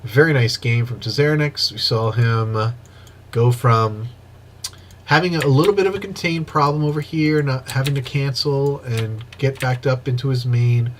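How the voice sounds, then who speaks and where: A man with a stuffy, sniffly nose giving excitable play-by-play commentary on a strategy game replay, with casual asides, on an old microphone. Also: medium pitch, normal speed, a little hiss or hum. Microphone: old microphone he wants replaced.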